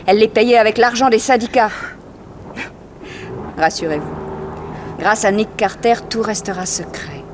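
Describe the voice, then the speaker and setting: Low-voiced, assertive woman detective played by an actress. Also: normal speed, pauses often, lightly acted. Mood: coolly mocking. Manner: firm, pointed, self-assured. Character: brisk, assertive female detective's assistant, sharp and teasing. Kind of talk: 1960s French radio crime drama acting. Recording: noisy old radio drama recording.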